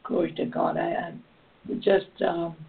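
Hoarse woman leading prayer by phone. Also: lowish voice, level tone, unhurried. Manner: fervent, repeats praise words often. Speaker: prayer line host. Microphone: phone call. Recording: tinny phone line.

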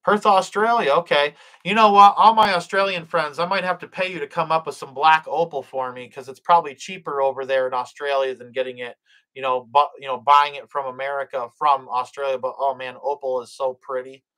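A man's voice: medium pitch, steady tone, fairly quick, bright, forceful tone, poor audio. A middle-aged man hosting a live stream, reading the chat aloud, blessing viewers and praying, with plenty of fillers and restarts. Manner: hesitant, many fillers and restarts.

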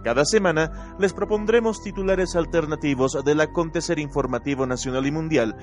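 A man hosting a radio program, introducing its segments in a formal, measured announcing style. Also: fairly high pitch. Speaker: radio show host.

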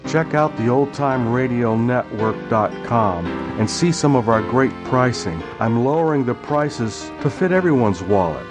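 A man's voice: mid-range voice, expressive, a little slow, few pauses. A man reading a promotional announcement as a small seller, with plucked guitar playing behind him. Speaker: old-time radio website seller. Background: plucked guitar music.

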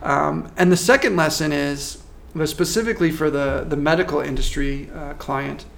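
A man working as a marketing consultant, giving a measured, thoughtful interview answer with frequent restarts. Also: a little high, vocal fry, a bit bright. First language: not French.

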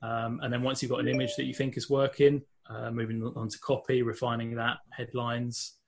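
A man's voice: mid-range voice, flat delivery, a little fast, a little mellow. A man with a London accent, a guest on a podcast, talking casually with many fillers and restarts. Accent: London English. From England. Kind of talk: casual interview talk.

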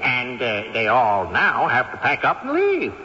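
A crotchety old great-grandfather's ghost, haughty and sly, his voice bright and swinging widely in pitch. He chuckles to himself as he schemes. It sounds like a dull old radio broadcast with a long reverb. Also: huge pitch swings, measured pace, heavily acted. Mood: smug. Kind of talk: 1970s radio drama acting.

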